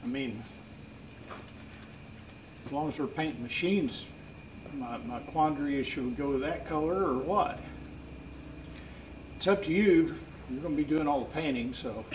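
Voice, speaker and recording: Higher-voiced older man, thinking aloud. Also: a little slow, pauses often. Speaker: machine restorer and channel host. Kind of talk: casual chat while working. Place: open shop doorway beside a trailer. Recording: noisy workshop recording.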